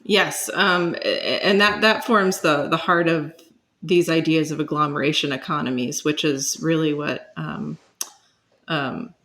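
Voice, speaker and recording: A woman's voice: sits low, fairly even intonation, measured pace, a bit bright. A woman, speaking as an expert guest and explaining ideas conversationally while searching for words.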